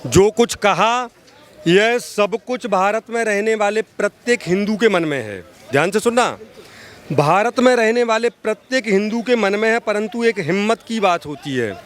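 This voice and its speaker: A man giving impassioned political opinion in an oratorical, emphatic way, repeating phrases for stress. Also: sits high. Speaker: social activist giving street interview.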